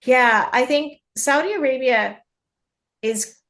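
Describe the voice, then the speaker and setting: A woman's voice: high-pitched, slow, fairly bright tone. A woman originally from Canada, a mining industry guest in an interview, explaining at length with frequent restarts and repeated words. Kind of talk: conversational interview.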